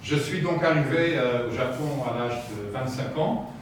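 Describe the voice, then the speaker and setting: Middle-aged man from France, measured hesitant speaker; distant mic, very roomy, noisy. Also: mid-range voice. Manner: slow, measured, hesitant with "euh" fillers. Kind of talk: formal talk with pauses for interpreting. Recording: echoey room from far off with noise.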